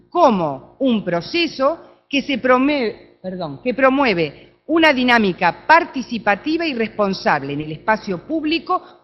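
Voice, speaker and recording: A woman with an Argentine accent giving an academic talk at a conference, often checking in with her audience through quick tag questions. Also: on the high side, dull audio. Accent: Argentine Spanish. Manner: animated, with frequent tag questions. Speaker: conference speaker. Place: conference room.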